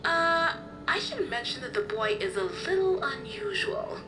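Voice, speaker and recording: An actress playing a kindly, motherly guardian in a video game cutscene, explaining things in a gentle storytelling way. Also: extremely high pitch, sing-song, a little slow, over music, exaggerated voice, poor audio. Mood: earnest, explaining. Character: kindly motherly woman, caring guardian of an orphaned boy.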